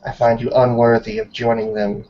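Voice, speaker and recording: A man voicing a stern female werewolf elder who passes judgement in grave, deliberate, formal words in a tabletop game. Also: medium pitch, level tone, warm tone, natural voice. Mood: solemn, condemning. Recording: muffled lo-fi online call.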